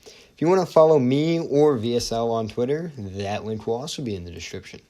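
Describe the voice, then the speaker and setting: A man's voice: medium pitch, lively intonation, a little fast, dark tone. A young man narrating a game lore theory video, hesitant, with many fillers and restarts. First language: not Norwegian. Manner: hesitant, lots of ums and restarts.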